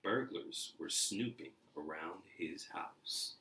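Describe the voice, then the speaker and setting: Male reader for a children's storybook, deep low register, expressive narration, audibly echoey room. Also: takes it slowly, vocal fry, slight background noise. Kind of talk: expressive storybook read-aloud. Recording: roomy, echoey indoor sound.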